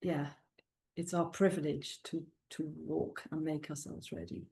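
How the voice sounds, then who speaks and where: A woman's voice: deep voice, level tone, a little slow. A middle-aged woman from South Wales with a Welsh accent, a native Welsh speaker, chatting as a guest in an interview, with frequent ums and laughs. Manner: warm, chatty, laughs often, many ums. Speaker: guest author. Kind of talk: casual interview chat.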